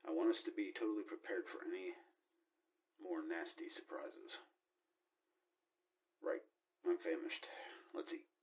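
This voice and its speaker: A man playing a commanding, impatient spaceship mission leader in an amateur audio drama, speaking in a measured, deliberate way. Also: on the low side, sing-song, creaky, darkish tone, exaggerated voice.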